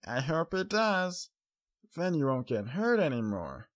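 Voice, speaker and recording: Man acting small girl pony, pitched far up, sweet, drowsy, curious, storybook character. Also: sing-song, measured pace. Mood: soft, hopeful. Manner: tired, childlike. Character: sleepy young filly, small, sweet and curious. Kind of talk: audiobook character voice.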